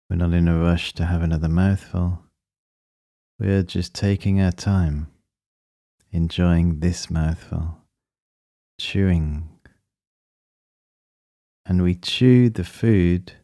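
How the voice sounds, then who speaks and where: A man with a deep, soft-edged, mellow voice guides a meditation. He gives gentle instructions calmly and very slowly, in a measured way. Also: no room sound, studio quality.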